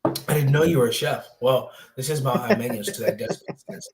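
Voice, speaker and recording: A man's voice: medium pitch, a little fast, a crowd in the background. Chatty young man hosting a sports show. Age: 20s. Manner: chatty, rambling, many fillers. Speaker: podcast host. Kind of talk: casual sports talk.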